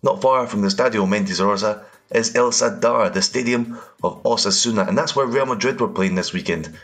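A Scottish man with a lowish voice hosts a football podcast. He reads his scripted links in a measured, clear way and puts questions to his guests, with faint music underneath. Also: a bit bright. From Scotland. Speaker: podcast host.

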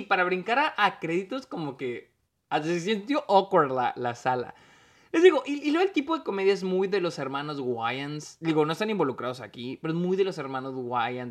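A man with a Mexican accent giving a casual, rambling solo film review, full of restarts and dropped-in English words. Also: a little high.

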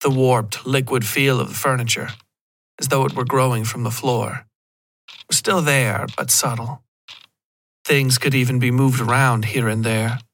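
A man reading a scripted horror story as a podcast narrator, in a measured, literary delivery with deliberate pauses. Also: mid-range voice, steady tone, fairly bright tone.

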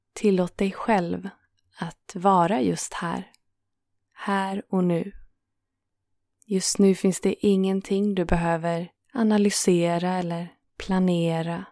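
A woman guiding a yoga nidra relaxation in a calm, soothing manner, leaving gentle pauses between phrases. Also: fairly low pitch, no echo, clean studio sound. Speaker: meditation guide and host.

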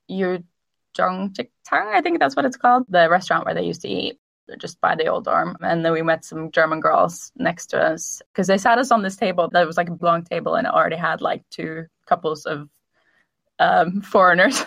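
Woman in her thirties, podcast co-host, lowish voice, dry close sound, chatty storyteller who laughs a lot. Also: vocal fry, fairly bright tone, no room sound. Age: 30s. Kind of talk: casual podcast chat.

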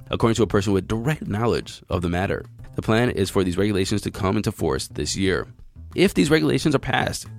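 Low, slightly raspy American podcast host. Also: male voice. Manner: chatty, wry asides and rhetorical questions. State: voice lowered by illness. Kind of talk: news reading with casual commentary. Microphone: close podcast mic, compressed and equalized. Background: music.

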